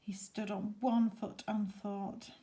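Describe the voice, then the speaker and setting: A woman reading a children's storybook aloud expressively, with dramatic pauses and chatty asides. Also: medium pitch, flat delivery, mellow.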